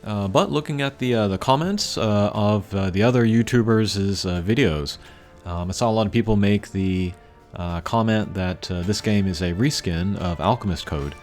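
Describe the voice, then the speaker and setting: A man with a fairly low voice commentates on a video game in a casual, unscripted style. He is low-key and rambles a little, pausing often as he thinks. Game music plays behind him. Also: a little mellow.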